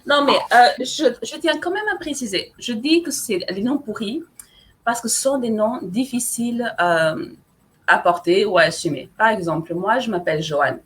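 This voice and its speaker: A woman's voice: on the high side, lively intonation, normal speed, fairly bright tone. Playful woman. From Portuguese-speaking country. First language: Portuguese. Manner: playful, teasing, self-mocking. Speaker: author guest. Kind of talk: casual live chat.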